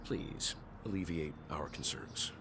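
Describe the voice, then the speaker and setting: An actor playing a serious, mature gentleman in a video game, polite, cautious and formally firm. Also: very deep voice, slow, background noise, full character voice. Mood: polite, concerned. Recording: loud game music behind.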